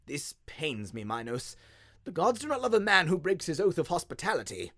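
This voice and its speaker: Man as a genial plump king, lively pitch. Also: moderate pace, dry recording, clean studio sound. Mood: uneasy. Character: plump, genial king, easygoing and a little nervous.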